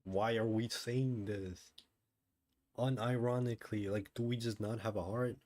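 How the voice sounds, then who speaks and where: A man's voice: lowish voice, fairly even intonation, unhurried, a little mellow. A man giving casual reaction commentary over a game, blunt and dryly sarcastic. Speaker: game streamer.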